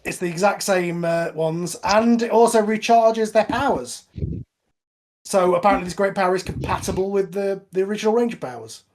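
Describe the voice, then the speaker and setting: A man's voice: sits high, normal speed, a little mellow. A man giving casual, conversational movie commentary as part of a podcast.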